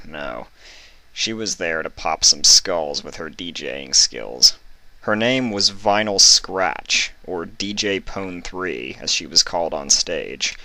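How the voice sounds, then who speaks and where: A man who reads fan fiction, moving between casual introduction and expressive story narration. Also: rumbling low, gravelly, dry recording, rough recording.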